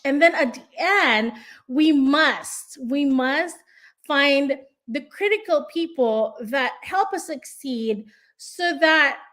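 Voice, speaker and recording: A woman with a Filipino accent speaks in a somewhat high voice, earnest and encouraging as she gives a motivational speech. She sounds a little tired, often restarting or repeating a phrase before pressing on. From Philippines. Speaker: real estate investor and coach.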